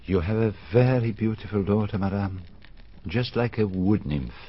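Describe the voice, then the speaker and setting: An actor playing a fastidious middle-aged detective, speaking in a courteous, formal, probing way. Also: male voice, on the low side, slightly rough. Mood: courtly. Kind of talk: 1980s-style BBC radio drama. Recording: dull lo-fi archive radio, faint hiss.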